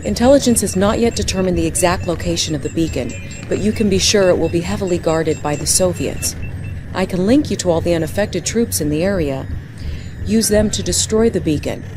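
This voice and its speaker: An actress playing a young American military intelligence lieutenant, giving formal, measured briefings in a crisp manner for a video game. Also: female voice, on the low side, over music, natural voice. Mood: composed briefing.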